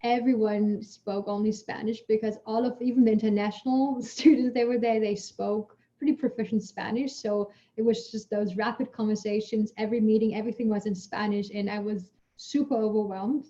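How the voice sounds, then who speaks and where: Lady scientist, chatty, lots of fillers, warm low-key tone, muffled call sound. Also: brisk, few pauses. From Germany. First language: German. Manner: lively storyteller, chatty with many fillers, laughs while talking. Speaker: guest scientist. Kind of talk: casual Q&A answers.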